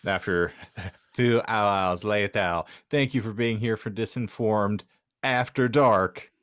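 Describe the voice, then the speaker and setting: A man's voice: on the low side, slow pace, a bit bright, clear, resonant tone, dry recording, studio quality. A man hosting a podcast in casual banter, joking with mock-grand announcer phrasing. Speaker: podcast host.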